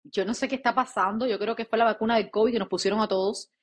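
A woman's voice: fairly high pitch, fast talker. Emphatic Cuban woman co-host. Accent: Cuban Spanish. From Cuba. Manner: animated, emphatic, addresses listeners directly. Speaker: podcast co-host. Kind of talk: casual chat.

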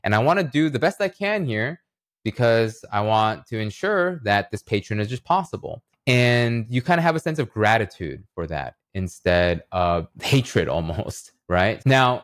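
A man's voice: fairly low pitch, dry recording, clean studio sound. A man speaking as an interview guest, explaining ideas in a reflective way and checking in with the listener as he goes. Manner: reflective, uses analogies and rhetorical check-ins. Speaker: podcast guest, writer and illustrator.

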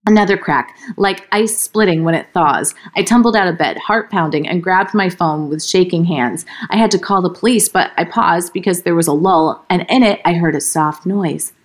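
A woman reading a story aloud as a podcast host, informal and expressive, ready to step out of the narration for asides. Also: on the low side, moderate pace, few pauses. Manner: expressive, breaks character, giggly slips and asides.

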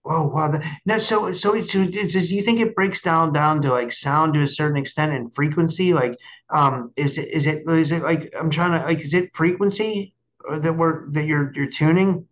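A man hosting a casual interview, effusive and halting, often repeating words, on dull lo-fi audio. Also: on the high side. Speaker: show host.

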